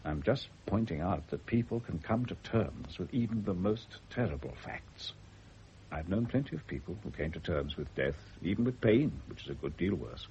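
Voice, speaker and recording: An actor playing a calm, frank male doctor who reasons patiently and deliberately in a radio drama. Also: low-pitched. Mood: measured, frank. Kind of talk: 1970 radio drama acting. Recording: dull, noisy old radio recording.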